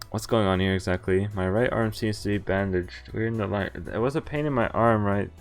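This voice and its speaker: A man's voice: lowish voice, moderate pace, long pauses, clean tone. A man streaming a visual novel, reading the game text aloud with casual, profane, laughing commentary. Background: faint game music.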